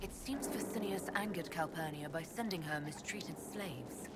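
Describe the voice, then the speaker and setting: A woman's voice: sits low, moderate pace, close to an everyday voice. An actress playing a confident female adventuring leader in a video game, dry and decisive. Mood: investigating. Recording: clear background ambience noise.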